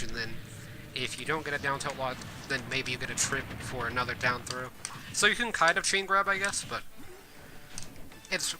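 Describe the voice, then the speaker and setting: A man giving casual gameplay commentary as a streamer, rambling with fillers and self-corrections, his voice a bit hoarse. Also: mid-range voice, background noise. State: hoarse from coughing. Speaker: streamer and game guide host. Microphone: desk mic.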